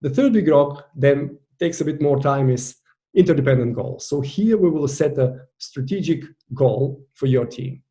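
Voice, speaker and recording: Bulgarian-accented man with a mellow voice. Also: on the high side, expressive, normal speed. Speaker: virtual team coach. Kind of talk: online masterclass presentation.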